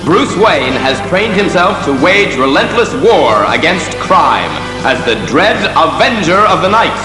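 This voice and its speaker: A man narrating a scripted adventure drama with portentous, measured phrasing. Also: high-pitched, big pitch swings. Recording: echoey reverb, music behind the voice.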